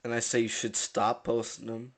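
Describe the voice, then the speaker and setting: A man co-hosting a casual podcast keeps interrupting with short, dry jokes. His delivery is deadpan and teasing, and his voice stays at an ordinary middle pitch. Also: moderate pace. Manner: dry, teasing one-liners. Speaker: podcast co-host.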